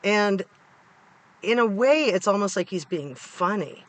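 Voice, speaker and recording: Hoarse, higher-pitched middle-aged American man. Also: animated, measured pace, a bit bright, a little hiss or hum. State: hoarse, congested from a cold. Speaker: true-crime vlogger. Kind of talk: casual opinion commentary to viewers. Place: inside a parked car.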